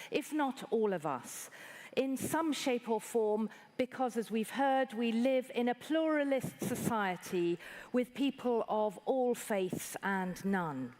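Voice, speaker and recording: A woman from Iran, a bishop, delivering a formal prepared keynote address in a measured, articulate way. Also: on the high side, expressive, a little mellow.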